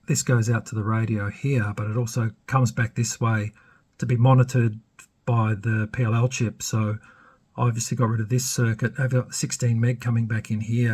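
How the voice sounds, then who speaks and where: A man giving a casual, unscripted technical walkthrough as a hobbyist host, halting and matter-of-fact, with a slightly husky voice. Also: mid-range voice. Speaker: electronics hobbyist and channel host demonstrating a repair.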